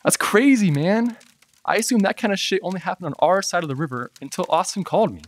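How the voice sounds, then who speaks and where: A man playing a tough but wounded teenage football player with a gravelly voice in a dramatized audiobook. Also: high-pitched, fast, no room sound, close to an everyday voice. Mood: disbelieving.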